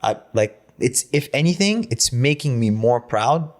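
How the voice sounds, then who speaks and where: A man's voice: medium pitch, lively intonation, unhurried. A young man from Lebanon, a native Arabic speaker, guesting on a podcast and talking in a loose, chatty way, swearing freely and saying "man" a lot. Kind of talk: casual podcast conversation.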